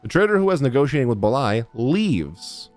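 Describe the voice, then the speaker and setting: A woman's voice: extremely low pitch, big pitch swings, normal speed, darkish tone, soft background music. A woman giving casual reaction commentary on a game, with slangy, joking asides.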